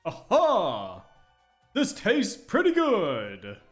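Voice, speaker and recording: A man's voice: sits high, huge pitch swings, extremely slow, a bit bright, faint music behind. A man streaming a video game, reading its text aloud and chuckling easygoing through it, on a desk mic. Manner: chuckling, easygoing, reads narration lines.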